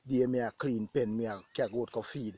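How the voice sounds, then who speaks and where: A man's voice: medium pitch, steady tone, a little fast, slightly rough, dull audio. A man with a Jamaican accent talking casually to viewers as a farmer vlogging outdoors, repeating phrases for emphasis. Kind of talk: casual vlog talk to viewers. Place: outdoors in an open grassy pasture.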